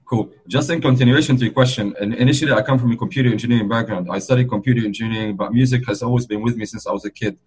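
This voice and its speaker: A man's voice: medium pitch, fairly even intonation, rapid-fire, hardly any pauses, breathy edge, low-quality recording. A man in his forties from Lebanon, a DJ guest talking in an easygoing, rambling way over a remote call. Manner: easygoing, rambling, frequent "like" and "you know". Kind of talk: relaxed conversational interview.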